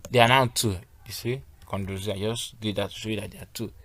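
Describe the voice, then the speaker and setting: A man's voice: on the low side, fairly even intonation, quick pace, a bit bright, no room sound. Male design tutor walking through steps. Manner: instructional, repeatedly checking that learners follow. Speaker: graphic design instructor. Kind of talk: step-by-step software tutorial.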